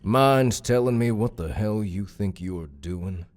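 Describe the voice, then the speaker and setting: A man playing a menacing armed gang guard with a deep, threatening voice in an audiobook drama. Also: lively intonation, darkish tone. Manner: slow, threatening.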